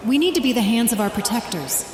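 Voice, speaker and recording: A woman's voice: on the high side, expressive, close to an everyday voice. A woman playing a devout, fervent religious leader in an audio drama, with solemn, declamatory delivery. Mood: fervent, resolute. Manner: solemn, declamatory, deliberate oratory. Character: fervent, devout female religious leader rallying her people. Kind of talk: first-person audio drama narration with speeches and prayer. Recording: echoey hall reverb, ambient noise behind.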